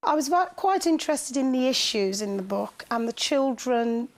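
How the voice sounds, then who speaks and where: A woman's voice: high voice, animated, normal speed. A woman, a teacher, recounting experiences in an interview in an articulate, enthusiastic way. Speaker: special school teacher. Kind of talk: interview recollection.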